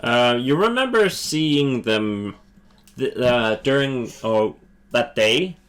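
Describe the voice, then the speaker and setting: Halting game master narrating a scene. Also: male voice, medium pitch, animated, a bit bright. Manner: halting, full of fillers and restarts. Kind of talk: narrating a homebrew tabletop game.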